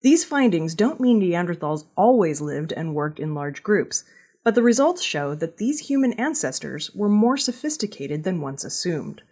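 A woman reads the news from a script in a slightly low register. Her voice has a husky grain, and her intonation moves in a lively way through each sentence as she narrates. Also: normal speed. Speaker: news reader.

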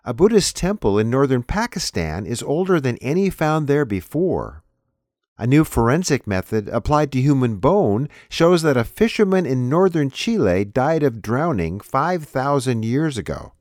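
A man's voice: medium pitch, very animated, moderate pace, darkish tone, no echo, clean studio sound. A man reading a scripted announcement as the host of an audio news show.

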